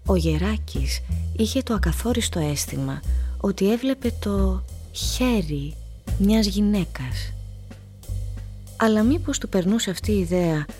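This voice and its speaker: A woman narrating a literary radio play in a measured, deliberate manner. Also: medium pitch, animated, darkish tone, soft background music.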